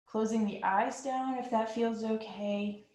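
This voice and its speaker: Woman, yoga instructor, calm soothing guidance, very slow delivery, echoey room. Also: on the high side. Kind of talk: guided yoga breathing instruction.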